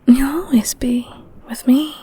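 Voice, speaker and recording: A woman voicing a loving, clingy, protective girlfriend in an intimate ASMR roleplay, speaking in a soft whispery voice with tender, gentle cooing. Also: sits high, measured pace, slightly rough, full character voice. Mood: tender, playful. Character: loving clingy girlfriend, secretly eldritch being, soothing and protective. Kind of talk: ASMR audio roleplay, intimate one-sided scene.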